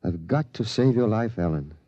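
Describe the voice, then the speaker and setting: Worried, determined dad in a 1950s radio drama: mellow, fairly low, urgent, muffled old broadcast. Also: male voice, expressive, moderate pace. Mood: anguished. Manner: urgent, earnest. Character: worried, determined father of a teenage son.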